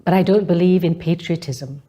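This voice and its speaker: Mellow, low-voiced woman. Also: moderate pace. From Malaysia. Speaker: speaker delivering a personal monologue. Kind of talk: scripted spoken-word monologue.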